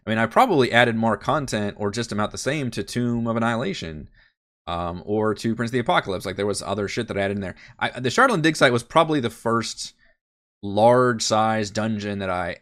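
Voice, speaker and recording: A man's voice: fairly low pitch, animated, fairly quick, a bit bright. A man with a head cold and a nasal, congested voice, chatting loosely as a game master on a livestream and thinking aloud with many fillers and restarts. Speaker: game master and channel host. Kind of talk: casual livestream Q&A.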